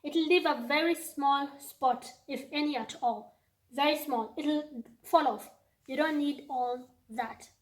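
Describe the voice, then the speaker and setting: High-voiced Kenyan woman giving advice. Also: slow pace. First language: not English. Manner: emphatic, repeats lines for effect, acts out quoted people. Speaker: YouTuber. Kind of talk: advice vlog monologue.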